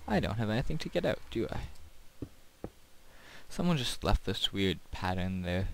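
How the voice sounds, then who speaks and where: A man's voice: on the low side, sing-song, normal speed, vocal fry, slight background noise. A man giving casual gameplay commentary as a video host, rambling and thinking aloud.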